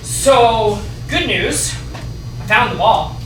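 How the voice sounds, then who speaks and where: Eager teen soccer boy played by a man, high and lively, children's stage play, echoey distant recording. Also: extremely slow, over music, exaggerated voice. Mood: sheepish, pleading. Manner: animated, exclamatory. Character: eager thirteen-year-old soccer-playing boy. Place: small theatre stage, distant camera. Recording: echoey stage from far off, noisy.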